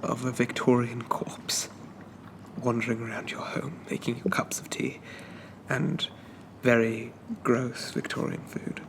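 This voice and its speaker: A man playing a shy, polite, lovestruck Victorian zombie gentleman, speaking softly and haltingly with flowery apologies in an ASMR roleplay. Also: mid-range voice, level tone, creaky, noisy background. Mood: tender, earnest. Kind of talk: ASMR roleplay, soft-spoken character monologue.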